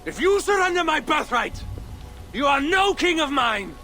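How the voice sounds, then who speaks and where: A bitter, defiant nobleman in a game drama. His very high male voice swings widely with accusation and cracks into creak, over prominent music. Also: very animated, moderate pace, sharp tone, exaggerated voice. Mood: outraged. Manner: impassioned, accusatory. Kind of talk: dramatic video game cutscene acting.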